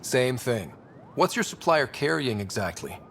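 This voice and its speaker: An actor playing an earnest, easygoing young human explorer in a video game, with a polite, wry, conversational manner. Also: male voice, mid-range voice, sing-song, a little slow, vocal fry, fairly bright tone, slight background noise, exaggerated voice. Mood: calm, wry. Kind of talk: video game dialogue acting.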